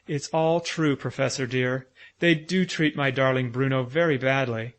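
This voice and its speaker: A man voicing a gentle, motherly little girl who speaks softly and earnestly. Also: medium pitch, fairly even intonation, moderate pace, close to an everyday voice. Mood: pleading.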